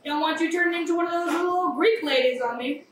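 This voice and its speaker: A woman acting a glib schemer, very high-pitched, echoey. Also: fast. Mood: flippant. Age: young adult. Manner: animated, flippant, theatrical. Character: glib, manipulative, callous woman with a morbid streak. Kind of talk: staged play reading, theatrical. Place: small café, live staged reading. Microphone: far camera. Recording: echoey, distant camera mic, faint noise.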